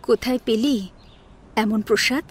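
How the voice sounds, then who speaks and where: A woman playing a quiet, reserved, melancholy married elder sister in an audio drama. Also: on the high side, measured pace, husky. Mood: quiet, mild. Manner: subdued, reserved. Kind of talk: Bengali audio drama acting.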